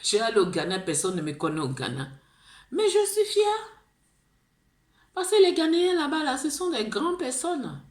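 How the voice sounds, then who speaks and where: A woman's voice: sits high, big pitch swings, normal speed, long pauses, roomy sound. A woman with an Ivorian French accent gives an impassioned monologue, pleading, repeating herself and restarting words. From Ivory Coast. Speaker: video blogger.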